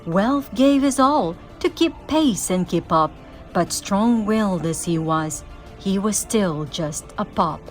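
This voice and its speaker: A woman giving an expressive, theatrical read-aloud of a rhyming children's story. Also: medium pitch, slow, soft background music. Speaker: storybook reader.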